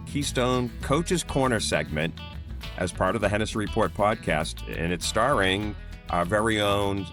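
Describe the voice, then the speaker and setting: Bright-voiced male podcast host. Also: fairly low pitch, unhurried, soft background music. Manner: rambling questions with many fillers. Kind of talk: casual interview hosting.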